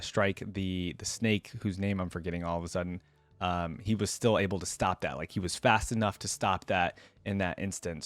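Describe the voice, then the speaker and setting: A man's voice: fairly low pitch, no echo. A man giving casual, rambling reaction commentary, peppered with "like" and "you know". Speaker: YouTube reaction host. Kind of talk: casual reaction commentary and read-aloud story.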